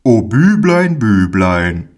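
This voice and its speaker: A man's voice: fairly low pitch, big pitch swings, slow, darkish tone. A man voicing a kindly, fatherly village man in a storybook, speaking in a soothing, earnest, folksy way.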